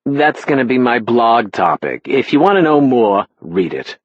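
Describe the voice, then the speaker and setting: A man's voice: medium pitch, big pitch swings, a little slow, dry recording. A man reading an audiobook, voicing a chatty, self-absorbed middle-aged mother with a breezy, whiny manner. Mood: breezy teasing. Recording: slightly dull, dry sound.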